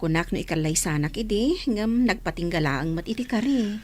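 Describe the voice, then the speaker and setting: A woman's voice: mid-range voice, animated, a little fast, darkish tone, a little hiss or hum, close to an everyday voice. A woman playing a humble single mother asking for work as a caregiver, speaking meekly and respectfully. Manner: meek and respectful. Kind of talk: radio drama acting.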